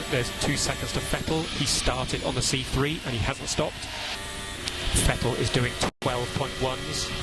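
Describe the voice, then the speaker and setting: A man working as a race engineer, giving terse, matter-of-fact instructions over team radio. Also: medium pitch. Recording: team radio with loud background sound.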